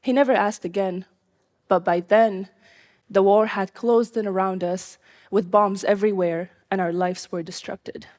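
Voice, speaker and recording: A young woman from Syria telling her own life story live, in an earnest, measured way. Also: mid-range voice, steady tone, a little mellow.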